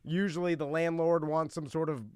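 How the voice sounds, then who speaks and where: A man's voice: fairly high pitch, flat delivery, moderate pace, darkish tone, clear, resonant tone, dry recording, studio quality. An American man hosting a talk show in casual chat, explaining things with hedges and qualifications. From United States.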